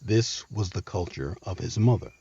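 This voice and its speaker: A man's voice: deep voice, expressive, moderate pace, gravelly, mellow, slightly rough, lo-fi sound. A man narrating a scripted history story with emphatic, dramatic phrasing, as the host of the show.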